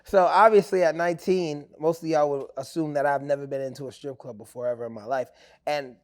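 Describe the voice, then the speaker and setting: A man, telling stories as an actor guest in a casual interview. He rambles in an animated way with many fillers. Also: a little high, fairly quick. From New York. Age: 20s.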